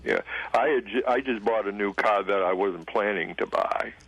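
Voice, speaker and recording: A man's voice: fairly low pitch, normal speed, fairly bright tone, slightly rough, poor audio. A man calling in to a radio show, sharing earnest, firm opinions. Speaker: caller. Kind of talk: call-in radio chat.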